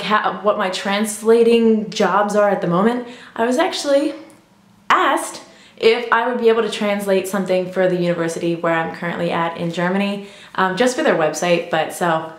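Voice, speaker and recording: Chatty young woman, echoey room. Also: mid-range voice. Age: young adult. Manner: chatty, many ums and you-knows. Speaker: language vlogger. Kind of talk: casual vlog talk.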